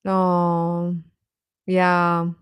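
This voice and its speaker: A woman's voice: on the low side, completely flat delivery, drawn-out words, smooth, no echo, studio quality. A young adult woman hosting a live stream, chatting informally with her viewers and often chuckling as she talks.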